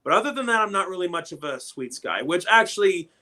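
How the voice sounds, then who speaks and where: A man in his thirties talks casually on a livestream, his voice bright and forward and sitting a little high. He rambles and repeats words as he gets sentences going. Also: expressive, fast. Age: 30s. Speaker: livestream host.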